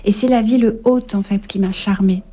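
Woman guest author, mellow tone, reflective and halting, radio interview. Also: mid-range voice, fairly even intonation. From Paris, France. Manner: hesitant, many fillers, warm and reflective. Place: radio studio.

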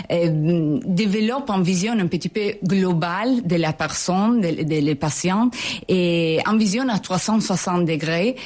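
A woman in her forties from Italy, a physician with an Italian accent, answering interview questions in French and often pausing to search for words. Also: lowish voice, a little mellow. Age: 40s. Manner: hesitant, searching for French words with many fillers.

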